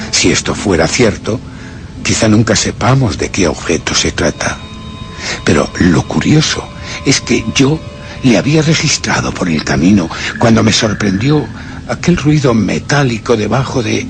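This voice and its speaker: A man's voice: lowish voice, creaky. An actor with a Castilian Spanish accent playing a tormented man who reasons obsessively in a brooding, formal monologue for suspense radio theatre. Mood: tense, searching. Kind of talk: dramatic first-person suspense radio theatre narration.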